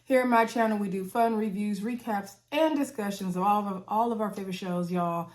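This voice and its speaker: A congested woman, recapping a reality show to her viewers in a chatty, animated way, often saying "y'all". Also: medium pitch, a little fast. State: congested, coughing.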